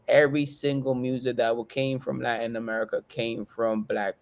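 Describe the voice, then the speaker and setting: A man's voice: mid-range voice, level tone, normal speed, creaky. A man with a mid-range voice speaks on a panel. He counts off his points in a plain, direct way and slips in some Spanish now and then. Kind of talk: casual panel discussion.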